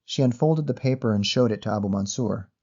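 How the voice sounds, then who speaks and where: A man reading a literary short story as narrator in a measured, descriptive manner. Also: medium pitch, a little mellow, highs cut off.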